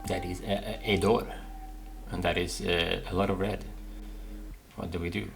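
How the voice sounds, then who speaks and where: A man's voice: low voice, level tone, moderate pace, creaky, a bit bright, soft background music. A man hosting a casual gaming playthrough, musing aloud and often repeating phrases. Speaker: gaming video host.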